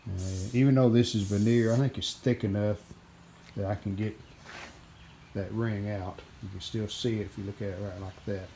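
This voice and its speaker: A man giving casual, plainspoken hands-on narration as the host of a repair video, unscripted and pausing mid-sentence. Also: fairly low pitch, mellow. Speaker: host of a radio restoration video channel. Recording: clear background noise, room ambience.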